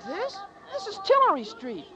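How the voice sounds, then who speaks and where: A breezy, wisecracking bystander. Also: female voice, very high-pitched, big pitch swings, normal speed. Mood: wry. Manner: breezy, slangy. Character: wisecracking street bystander, brash. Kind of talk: 1940s radio acting.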